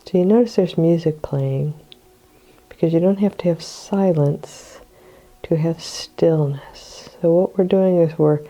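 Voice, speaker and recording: An older woman with a cold, hoarse and clearing her throat, guiding a meditation in a slow, soothing way. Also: on the low side, mellow, poor audio. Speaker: meditation guide. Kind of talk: guided meditation.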